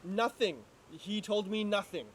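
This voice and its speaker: A man's voice: high-pitched, unhurried, rough recording. A man acting an anxious, exasperated twin brother who gets indignant and sarcastic in an amateur drama reading. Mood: defensive, confused.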